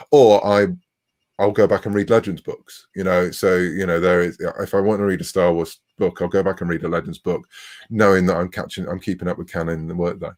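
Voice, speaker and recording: Middle-aged male, deep voice, informal interview guest, talkative and self-interrupting. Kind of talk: casual conversational interview.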